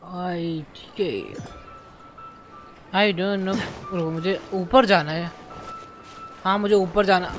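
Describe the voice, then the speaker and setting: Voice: mid-range voice, lively intonation, racing, long pauses, faint music behind. A game streamer with an Indian accent giving casual live commentary, muttering questions to themself and mixing Hindi with English.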